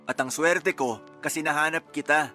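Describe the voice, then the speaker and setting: A man acting a grateful, gently teasing boyfriend in a Tagalog radio romance drama, affectionate and conversational. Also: on the high side, normal speed, faint music behind. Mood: grateful, tender.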